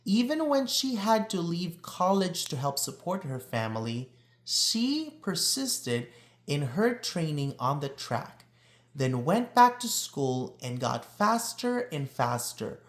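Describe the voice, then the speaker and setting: A man reading a children's picture book aloud in a deliberate, expressive storytelling delivery, drawing out words for emphasis. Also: fairly high pitch. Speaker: read-aloud reader.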